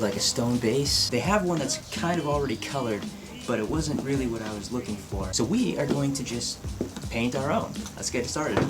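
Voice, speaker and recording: Casual man narrating over music. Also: mid-range voice. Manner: informal, self-admittedly impatient. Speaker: craft vlogger.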